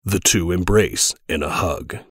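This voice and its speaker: A man's voice: deep voice, a little slow, fairly bright tone, no room sound, studio quality. A man giving scripted narration as the narrator of an audio drama.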